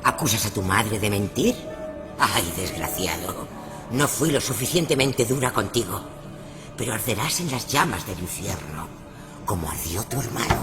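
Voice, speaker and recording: A man's voice: on the low side, animated, moderate pace, lightly acted. A man playing a cruel, domineering older mother who scolds and accuses in a radio horror play. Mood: scornful. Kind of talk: Spanish-language radio horror acting. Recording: music behind the voice.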